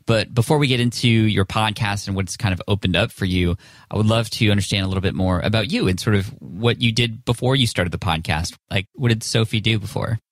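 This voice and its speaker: A man's voice: lowish voice, fairly quick, no echo. A man in his thirties hosting a podcast, upbeat and enthusiastic. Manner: enthusiastic, excitable. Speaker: podcast host.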